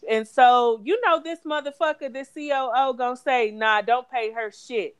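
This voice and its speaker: A woman's voice: high-pitched, moderate pace, smooth, no room sound, clean studio sound. A woman in her thirties co-hosting a podcast, talking bluntly and profanely in casual chat. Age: 30s. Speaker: podcast co-host.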